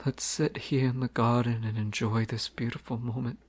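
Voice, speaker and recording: A man voicing a shy young crown prince in a romantic audio roleplay, speaking softly and intimately, often near a whisper. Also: mid-range voice, level tone, moderate pace, natural voice. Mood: hushed intimate.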